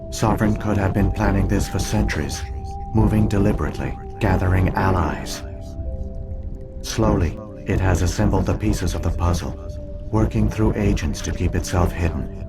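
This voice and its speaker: Deep, solemn ancient machine intelligence. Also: male voice. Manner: measured, formal, deliberate. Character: ancient alien virtual intelligence, male, solemn and coldly logical. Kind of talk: scripted video game voice acting, exposition. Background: game music.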